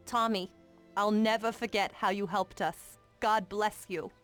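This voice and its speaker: A woman's voice: mid-range voice, steady tone, measured pace, fairly bright tone, soft background music, close to an everyday voice. A woman playing a devoted, emotional wife of a mobster in a video game, speaking with great earnestness. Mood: tearful gratitude. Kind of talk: dramatic video game dialogue.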